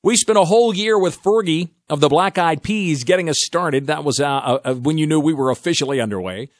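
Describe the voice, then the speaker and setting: Upbeat talk radio host, dry studio sound. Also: male voice, fairly high pitch, a little fast, no echo. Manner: animated, folksy, stumbles and repeats words. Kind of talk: energetic radio talk show. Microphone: studio microphone.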